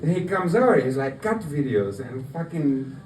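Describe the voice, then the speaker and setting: Amateur male comic, Greek accent, animated pitch, halting casual storytelling, caught from a distance in an echoey room. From Greece. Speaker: amateur stand-up comedian. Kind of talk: stand-up comedy storytelling to an audience. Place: live open mic night in a bar. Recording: roomy, distant mic, noisy bar open mic. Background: room noise, audience laughter.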